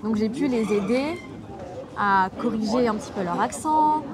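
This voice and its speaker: A woman in her twenties from Toulouse, a French teacher speaking as a guest in a relaxed interview, explaining in a clear, measured way. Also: on the high side, crowd noise. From Toulouse, southwest France. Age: 20s. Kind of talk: casual conversational interview.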